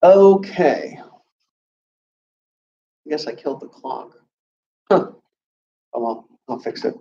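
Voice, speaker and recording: An American man speaks as a college instructor in a slightly high voice. He explains things informally and hesitantly, in an easygoing way with mid-sentence pauses. The sound is echoey and muffled, picked up at a distance from the mic in a classroom. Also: expressive, mellow. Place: classroom with students.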